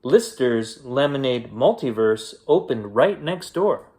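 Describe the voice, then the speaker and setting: A man with a mid-pitched voice reads a children's story aloud. His pace is slow and unhurried, and he uses deliberate pauses for drama in an expressive bedtime-story style. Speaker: storyteller reading a children's picture book.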